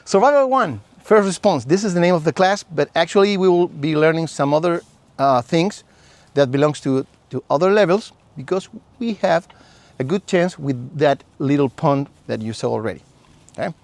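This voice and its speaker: A man's voice: a little high, lively intonation, moderate pace, no room sound. A man from Chile with a Chilean Spanish accent, a native Spanish speaker, earnestly giving a survival class outdoors. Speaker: survival instructor. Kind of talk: class instruction. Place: outdoor campsite.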